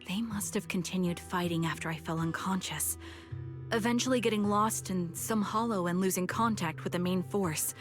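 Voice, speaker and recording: A woman playing a haunted, melancholy female soldier in a video game, speaking softly with sombre hesitation. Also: medium pitch, natural voice. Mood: pleading, desperate. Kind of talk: video game voice acting, recounting solemnly.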